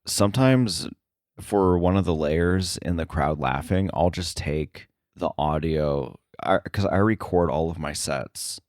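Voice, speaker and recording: Man, deep low voice, casual interview guest, halting self-correcting delivery, dry recording. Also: darkish tone, no echo. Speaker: podcast guest, sound editor.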